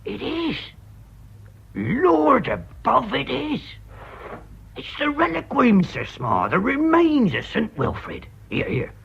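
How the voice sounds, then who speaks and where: An actor playing a jumpy, grasping British working-class villager with a creaky, colloquial voice in a radio drama. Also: male voice, very high voice, slow, full character voice. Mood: awed, excited. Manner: colloquial, excitable. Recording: slightly noisy, dull radio recording.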